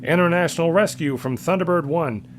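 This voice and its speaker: A man's voice: on the high side, a little fast, noisy background, voice pushed up, lightly acted. Man acting a strained, brave young rescue pilot. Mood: urgent reporting. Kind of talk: dramatic audio story reading.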